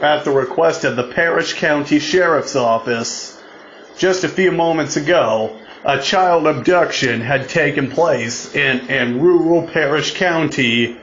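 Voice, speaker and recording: A man improvising a mock emergency broadcast announcement, haltingly, often repeating and restarting his phrases. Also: on the high side, a bit bright, a little hiss or hum. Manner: mimics alert tones with spoken sounds. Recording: muffled, echoey room recording.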